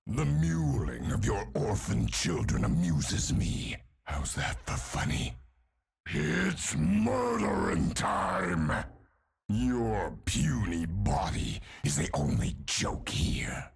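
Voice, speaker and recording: Hulking undead game villain: very slow, husky, guttural growl, bloodthirsty and menacing. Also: male voice, lowish voice, huge pitch swings, exaggerated voice. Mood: cruel mockery. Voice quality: gravelly, guttural, raspy. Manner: slow, grim, menacing delivery. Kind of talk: video game character voice lines.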